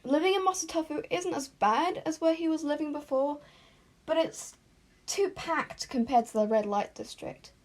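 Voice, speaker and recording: A woman narrating fan fiction as an amateur, in an informal, self-conscious way with retakes and asides. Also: high voice. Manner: informal, self-conscious, often stumbles and re-says lines.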